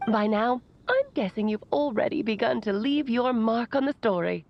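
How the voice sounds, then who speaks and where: A woman's voice: a little high, normal speed, muffled recording, slight background noise. An actress voicing a playful, eccentric woman mage who speaks in a theatrical, sing-song way through a recorded message. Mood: teasing.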